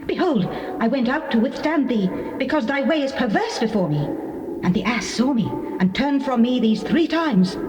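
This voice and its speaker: A woman's voice: on the high side, expressive, moderate pace, natural voice. Woman acting an echoing, reproachful otherworldly being. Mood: stern. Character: otherworldly female speaking creature or spirit, reproachful. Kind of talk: dramatized scripture acting in archaic English. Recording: echoey, distant, noisy old tape.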